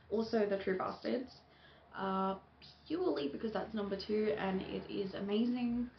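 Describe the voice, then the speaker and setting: A young woman chatting casually on her book vlog, with plenty of ums and soft laughs. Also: medium pitch, creaky. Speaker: book YouTuber. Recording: echoey room, dull lo-fi sound, faint hiss.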